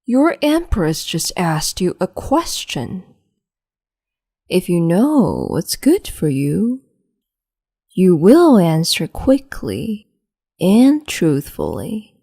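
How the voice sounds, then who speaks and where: A woman voicing a cruel, capricious empress in an ASMR roleplay, speaking slowly and mockingly and slipping into whispers. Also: on the low side, huge pitch swings, gravelly, warm tone, husky, no room sound, clean studio sound. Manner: slow, mocking, condescending, drifts into whispers.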